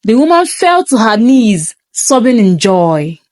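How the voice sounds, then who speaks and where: A woman narrates a first-person tale with dramatic suspense. Her voice sits fairly high and moves expressively up and down, taking its time, in a very dry recording. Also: very animated, a little slow, a little mellow, no echo. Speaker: storyteller.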